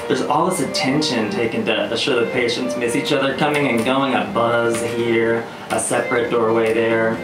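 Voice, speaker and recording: A man delivers spoken word live in a wry, theatrical manner. His articulate storytelling sounds roomy and a bit far from the mic, with electronic music clearly playing behind him. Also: medium pitch, steady tone, moderate pace, few pauses. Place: live performance venue. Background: electronic beats and music.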